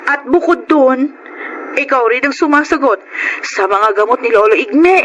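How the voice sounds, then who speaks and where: A woman's voice: high voice, a bit bright, faint music behind. An actress playing a blunt, streetwise woman in a Filipino radio drama, chiding her friend with a Filipino accent. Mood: matter-of-fact. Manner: brisk, exclamatory, chiding. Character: blunt, streetwise female friend, nagging yet sympathetic. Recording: noisy background hiss or ambience.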